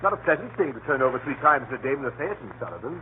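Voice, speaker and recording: An actor playing a jovial, scatterbrained country gentleman with a hearty, blustering manner in a 1930s radio drama. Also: mid-range voice, sing-song, racing, natural voice. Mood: hearty, chatty. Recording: tinny, lightly crackling 1930s radio broadcast.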